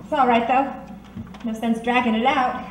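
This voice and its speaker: Lady playing plucky wry radio heroine, slightly high voice, stoic, echoey and distant. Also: steady tone, normal speed, lightly acted. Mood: resigned. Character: plucky woman on trial, resigned yet brave. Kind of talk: audio drama acting in a detective serial. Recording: echoey, distant, faintly noisy recording.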